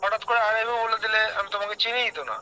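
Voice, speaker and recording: A man's voice: sits high, little pitch movement, fast talker, bright, forceful tone, a little hiss or hum, low-quality recording. A man in a casual phone conversation, questioning the other person insistently. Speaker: prank call recipient.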